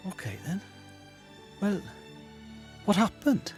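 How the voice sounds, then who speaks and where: An actor playing a sarcastic, impatient detective chief inspector in a comic audio drama. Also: male voice, sits high, big pitch swings, slow, faint music behind, exaggerated voice. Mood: grudging.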